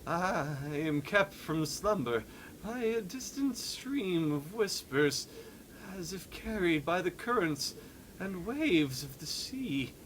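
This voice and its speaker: A man's voice: sits high, expressive, slow pace, fairly bright tone, a little hiss or hum, exaggerated voice, low-quality recording. A man voicing a fussy, troubled adventurer who is turning into a sea creature, reading a game journal theatrically. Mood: secretive, troubled. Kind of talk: dramatic read-along of game journal.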